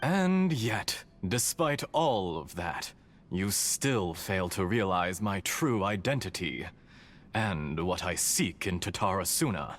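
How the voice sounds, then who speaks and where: Suave, lilting low-voiced villain. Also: male voice, very animated, slow, exaggerated voice. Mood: smug, taunting. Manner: theatrically polite, smug, sly, taunting. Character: suave, mocking male villain posing as mechanic. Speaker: video game villain. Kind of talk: dramatic video game voice acting. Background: background music.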